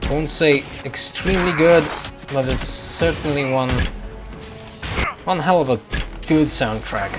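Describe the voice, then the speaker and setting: Rambling male gamer over game music. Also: a little high. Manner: rambling, thinking aloud. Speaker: video game streamer. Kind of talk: casual let's-play commentary.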